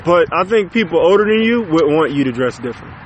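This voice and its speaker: A man's voice: a little high, animated, quick pace. A man in his late twenties co-hosting a podcast in casual chat, laid-back and slangy, often ending lines with "man". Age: 20s. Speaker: podcast co-host.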